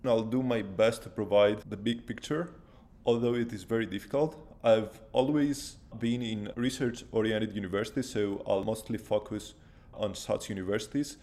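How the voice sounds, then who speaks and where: A man's voice: on the low side, fairly even intonation, moderate pace, dark tone. A man in his thirties from Greece with a Greek accent, a postdoctoral researcher answering interview questions thoughtfully and step by step.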